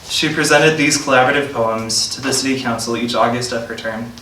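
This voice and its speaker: Male event host, bright tone, reading prepared introduction, echoey room with faint noise. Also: medium pitch, fairly even intonation, a little fast. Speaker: host introducing a featured poet. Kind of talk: reading a scripted introduction aloud. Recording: roomy, distant mic, faint noise.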